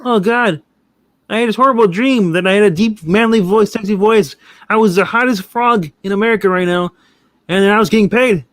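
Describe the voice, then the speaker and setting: A man's voice: high-pitched, brisk, a bit bright, no room sound, pitched above the performer's own voice. A man voicing a cheeky, crude male frog puppet in a whiny, brash way. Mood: dazed.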